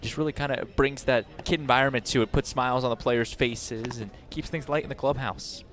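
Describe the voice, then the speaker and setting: A man's voice: mid-range voice, fairly even intonation, fairly quick, fairly bright tone. A young American man giving live color commentary on a radio baseball broadcast. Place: outdoor baseball stadium broadcast.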